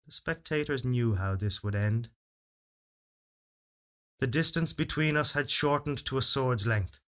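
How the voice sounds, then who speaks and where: Bright-voiced man reading a solemn fairytale. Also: medium pitch. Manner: measured, expressive, solemn storytelling delivery. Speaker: story reader. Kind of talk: dramatic literary narration of a first-person fairytale.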